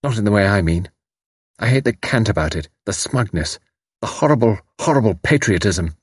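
A man's voice: lowish voice, expressive, moderate pace, husky, dry recording, studio quality. A man voicing a fierce, bitter, impetuous young woman in an audiobook, with intense, emphatic delivery. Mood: bitter, impassioned. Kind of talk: audiobook character dialogue.

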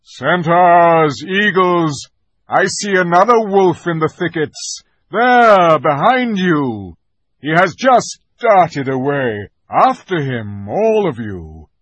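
A man voicing a noble, solemn great lion king in an audiobook, giving weighty, deliberate commands. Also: medium pitch, big pitch swings, fairly bright tone, no echo, heavily acted. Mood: urgent command. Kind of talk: dramatic audiobook character voice.

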